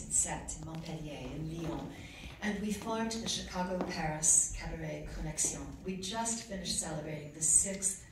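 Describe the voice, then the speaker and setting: A woman giving an award acceptance speech at a podium in an ornate reception room, recorded from far off. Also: low voice. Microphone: podium mic, recorded from far off. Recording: echoey room, far from camera, noisy.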